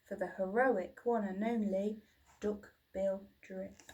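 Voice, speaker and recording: A woman's voice: medium pitch, steady tone, takes it slowly, muffled recording, background noise. A woman reading a children's storybook expressively, like a teacher, recorded at a distance from the mic.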